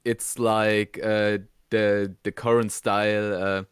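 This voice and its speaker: A man co-hosting a casual review, talking in a halting way and repeating words as he forms his thoughts. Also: fairly low pitch, little pitch movement, clear, resonant tone, no room sound, clean studio sound. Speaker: co-host of an animation review show.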